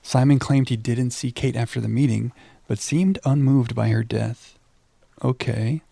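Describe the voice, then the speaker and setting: Mellow, creaky-voiced male game streamer. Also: mid-range voice, unhurried, dry recording. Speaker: livestream game host. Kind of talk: casual chat with viewers, reading game text aloud.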